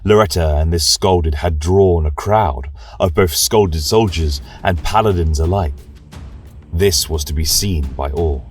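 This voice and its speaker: Slow, low-voiced solemn male storyteller. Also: far from the mic. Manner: grave, reverent, archaic phrasing. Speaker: storyteller host playing a religious chronicler. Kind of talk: solemn scripted fantasy narration. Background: music.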